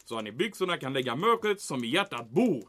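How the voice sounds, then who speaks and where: A man's voice: mid-range voice, big pitch swings, fairly bright tone. A man playing an anxious, officious German military commandant in a comic audio drama, peppering Swedish with German words. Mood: indignant, baffled.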